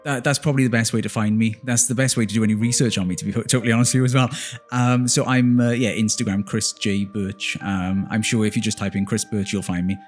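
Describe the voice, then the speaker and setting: A man's voice: lowish voice, fairly even intonation, fast talker, hardly any pauses, faint music behind. Earnest entrepreneur man, enthusiastic guest. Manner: earnest, enthusiastic, with um fillers and self-corrections. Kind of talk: casual interview chat.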